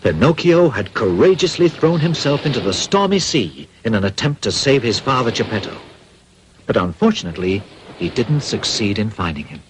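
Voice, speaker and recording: Animated male storybook narrator. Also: medium pitch, noisy background.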